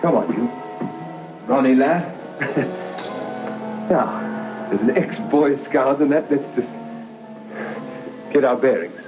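An actor playing an uneasy man trapped alone in a dark haunted room, muttering haltingly with nervy bravado in old-time radio horror style. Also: mid-range voice, music in the background, natural voice. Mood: rattled bravado. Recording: dull lo-fi old radio recording, faint hiss.

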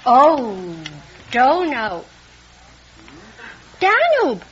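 A woman's voice: high voice, wildly swooping pitch, extremely slow. A woman giving brief, unscripted answers as a contestant on a quiz show. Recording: very noisy, muffled old radio broadcast.

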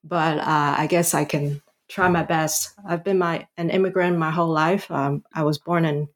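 A middle-aged woman from Hong Kong, a historian being interviewed about her book, answering haltingly with many ums and restarts. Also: low-pitched, fairly even intonation. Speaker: guest author, historian.

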